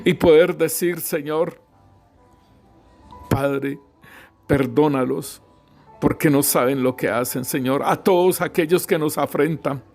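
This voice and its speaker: A middle-aged man praying aloud with fervor, his voice sitting a little high. He speaks in short bursts, breaking each phrase with a repeated invocation to God. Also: slow, long pauses, a little mellow, soft background music. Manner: fervent, constantly repeating "Señor" and "Rey Santo" between phrases. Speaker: prayer leader. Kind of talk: spoken congregational prayer.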